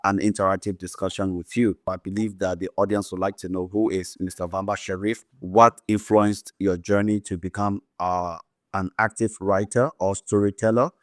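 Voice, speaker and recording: A man hosting a podcast, introducing his guest in a formal, measured way with some fillers. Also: low voice, dry recording, clean studio sound. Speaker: podcast host.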